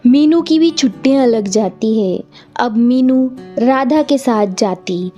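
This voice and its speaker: A woman's voice: fairly high pitch, warm tone. A woman narrating a scripted children's story, with music behind her and a dull recording.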